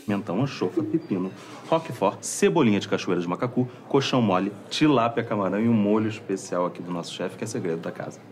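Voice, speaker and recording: An actor playing an overly formal waiter at a pretentious restaurant, speaking in polite, hushed serving patter. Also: male voice, fairly low pitch, quick pace, hardly any pauses, lightly acted. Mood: explaining, smooth. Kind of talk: sketch comedy acting. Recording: light background noise from a played clip.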